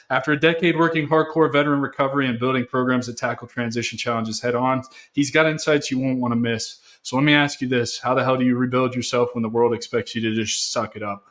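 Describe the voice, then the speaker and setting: Guy hosting a vet podcast, punchy n casual, a bit salty, mid pitch voice. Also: a bit bright. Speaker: podcast host. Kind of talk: podcast intro and interview.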